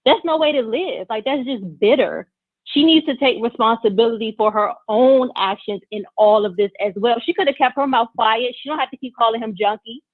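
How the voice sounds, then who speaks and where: A woman calling in over a dry, narrow phone line, her voice sitting a little high. She makes her point quickly and firmly, stacking up short, emphatic sentences. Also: no room sound. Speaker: caller. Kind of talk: casual phone-in chat.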